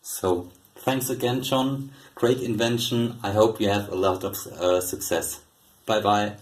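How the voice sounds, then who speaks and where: A man from Germany giving a friendly, casual video testimonial with short pauses. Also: fairly low pitch, fairly even intonation, rough recording.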